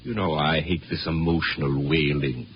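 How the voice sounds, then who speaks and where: Scornful, deep-voiced Martian husband. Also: male voice, fairly even intonation, moderate pace. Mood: scornful, impatient. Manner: pompous, scornful. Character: dismissive, scoffing Martian husband. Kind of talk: 1950s radio drama acting. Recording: dull, noisy 1950 radio recording.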